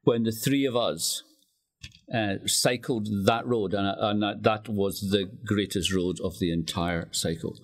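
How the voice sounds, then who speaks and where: A man's voice: lowish voice, fairly even intonation, measured pace. A Scottish man in his sixties from the west of Scotland, talking thoughtfully and telling anecdotes as a guest in a reflective radio conversation. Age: 60s. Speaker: interview guest, writer.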